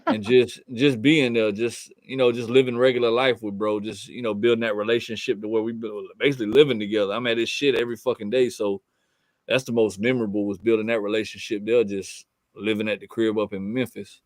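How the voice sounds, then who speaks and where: A man's voice: on the low side, level tone, fairly quick, dry recording. Laid-back Southern man telling stories. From Fort Pierce, Florida. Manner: laid-back, profane storytelling with frequent restarts. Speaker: guest artist. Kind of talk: casual podcast chat.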